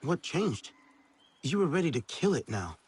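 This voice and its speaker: A man playing a steady, earnest young alien hero in a video game, speaking directly. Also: a little high, moderate pace, a little hiss or hum. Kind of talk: video game cutscene acting.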